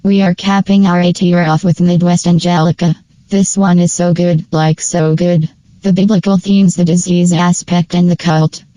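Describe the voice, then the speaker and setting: A woman narrates a casual review in a flat, dark-toned voice, recorded very dry and close. Her delivery is scripted but informal, like an opinionated fan ranking favourites. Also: fairly low pitch, moderate pace, no echo, poor audio. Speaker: video creator and narrator. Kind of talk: casual scripted tier-list review commentary.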